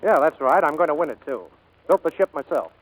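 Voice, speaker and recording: An actor playing a kindly, earnest plane builder in 1940s radio drama, gentle and a little hesitant. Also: male voice, on the high side, expressive, brisk, low-quality recording. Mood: friendly, hopeful.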